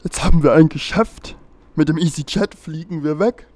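A man's voice: on the high side, moderate pace, darkish tone, dry recording, close to an everyday voice. Man playing a chatty, eccentric handyman. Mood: chatty, agreeable. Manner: hesitant, thinking aloud. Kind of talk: German audio play, conversational.

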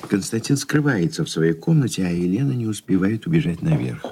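A man's voice: low voice, very animated, a little fast, dark tone, a little hiss or hum. A man reading scripted stage directions as the narrator of a radio play.

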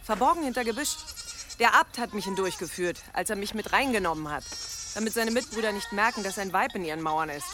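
Actress as a defiant village healer over music. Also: female voice, mid-range voice, expressive, fairly quick, natural voice. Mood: sardonic, sure. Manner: mocking, challenging, sure of herself. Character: defiant, sardonic village healer woman and protective mother. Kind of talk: radio drama acting.

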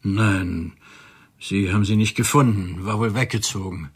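An actor playing a resigned father of a runaway son in a radio play, speaking quietly. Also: lowish voice, unhurried, breathy edge.

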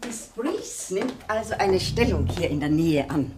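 An actress playing a sharp-tongued, disapproving wife in a 1980s German radio drama, pointed and sarcastic under brittle politeness. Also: female voice, lowish voice, lively intonation, a little slow, noisy background. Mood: brittle, pointedly sociable.

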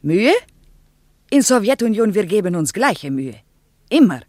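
Earnest Russian tour guide. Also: female voice, mid-range voice, sing-song, measured pace, a little mellow, no room sound, clean studio sound. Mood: indignant. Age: 30s. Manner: earnest, didactic, drops articles. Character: earnest, shy Soviet woman tour guide in her thirties. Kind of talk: radio drama acting.

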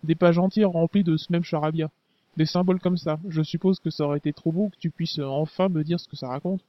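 Man playing a brash young resistance fighter, fast. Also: on the high side, fairly even intonation, no echo, highs cut off, close to an everyday voice. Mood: casual. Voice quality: casual slang, hesitant fillers.

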